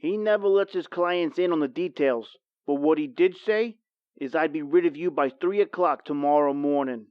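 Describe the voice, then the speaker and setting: An actor playing a wry, world-weary painter with a morbid wit, in a theatrical old-time radio horror style. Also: male voice, a little high, moderate pace, smooth, dry recording, muffled recording, natural voice. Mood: darkly teasing.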